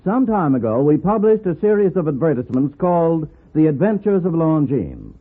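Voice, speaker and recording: Higher-voiced vintage male commercial announcer. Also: big pitch swings, normal speed, slightly rough. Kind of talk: formal scripted 1950s radio advertisement. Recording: muffled, narrow old radio recording.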